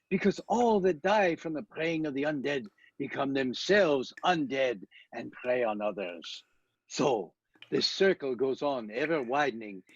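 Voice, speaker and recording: A grave older professor who hunts vampires, with a very husky voice and dramatic swings of pitch. He declaims solemnly and commandingly in stilted, foreign-sounding phrases, as in a radio play. The recording is dull, with music behind.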